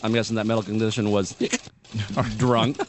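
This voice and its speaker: Brief: male news co-host, dry deadpan quips, faint hiss underneath. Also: fairly low pitch, moderate pace. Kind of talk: reading news items with banter.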